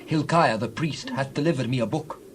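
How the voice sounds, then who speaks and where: An actor playing a dutiful scribe making a formal, measured report to his king in dramatized scripture. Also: medium pitch, a little hiss or hum, natural voice. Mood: deferential.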